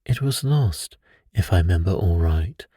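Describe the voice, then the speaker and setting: Earnest, polite gentleman doctor and detective's sidekick read by a man, low, mellow storybook voice. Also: animated, unhurried, slightly rough, no room sound, studio quality, heavily acted. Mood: recollecting. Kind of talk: bedtime storybook reading.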